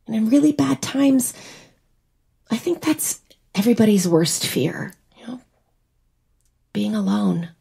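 An actress playing a wistful, teasing young bride in a naturalistic audio drama. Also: female voice, on the high side, lively intonation, unhurried, creaky, long pauses, dark tone, husky, full character voice. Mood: tender, earnest. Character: wistful, teasing young bride whose wedding was cancelled.

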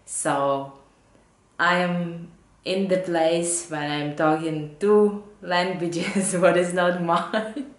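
A woman in her thirties with English as a second language, hosting a knitting podcast at home and sometimes halting to find her words. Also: fairly low pitch, fairly even intonation. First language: not English, not Danish. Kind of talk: casual chat to camera. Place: living room at home, indoors. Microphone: camera or laptop mic at desk distance. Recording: roomy home echo.

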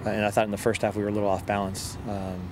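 A middle-aged man, a soccer coach, giving a post-match interview in a halting, measured way with repeated words, outdoors on a field. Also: on the low side, monotone. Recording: outdoor field recording with loud ambient noise.

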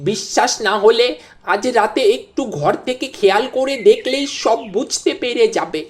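A woman's voice: a little high, expressive, normal speed, natural voice. A woman voicing a cautious, deferential older village uncle in a cartoon. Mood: warning. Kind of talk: cartoon voice acting. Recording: very loud music behind the voice.

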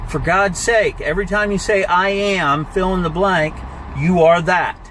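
Slow-paced motivational man in a noisy car. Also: sits high. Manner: blunt, emphatic, short deliberate phrases. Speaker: life coach. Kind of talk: motivational monologue to camera. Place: inside a moving car, driving. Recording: steady car and air-conditioning noise. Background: road and car ventilation noise.

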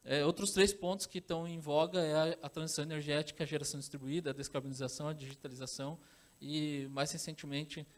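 A man in his thirties from Brazil delivers a conference talk on stage in a large hall. His voice sits slightly high, and he hesitates often, trailing into fillers and restarting phrases. He speaks into a handheld microphone. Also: fairly even intonation, fast.

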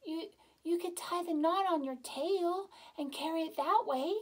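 A woman voicing a small, timid little boy in a children's storybook, speaking in a squeaky, pleading voice. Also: measured pace. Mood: eager.

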